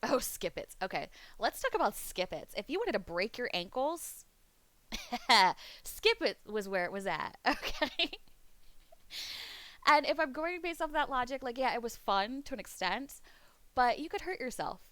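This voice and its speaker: A woman streamer chatting casually on a live webcam stream, rambling, laughing often, and going hoarse as she loses her voice. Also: a little high, very animated, a little slow, a bit bright, dry recording. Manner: rambling, laughs often, reads chat aloud. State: losing her voice. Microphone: desk mic on a webcam stream.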